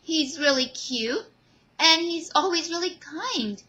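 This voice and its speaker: A woman voicing an excitable, confident teenage girl in a fan audio drama, with gushing, emphatic delivery. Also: sits high, slow, voice pushed up, full character voice.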